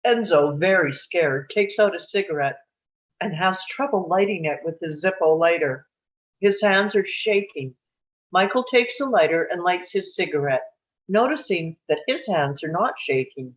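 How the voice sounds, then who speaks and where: A woman's voice: fairly low pitch, lively intonation, normal speed. A woman reading stage directions as scripted narration for a live table read, on dull audio.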